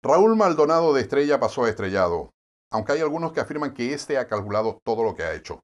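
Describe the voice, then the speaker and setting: Puerto Rican male host, scripted news commentary, lively pitch, sardonic. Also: quick pace, lo-fi sound. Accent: Puerto Rican Spanish. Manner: wry, sardonic editorial delivery.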